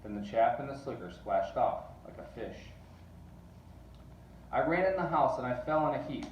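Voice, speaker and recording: A man reads a rhyming storybook aloud with animated, rhythmic delivery. His medium-pitched voice sits back from the mic in an echoey room with faint noise. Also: moderate pace, muffled recording. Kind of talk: expressive storybook read-aloud. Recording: distant mic, roomy echo, faint noise.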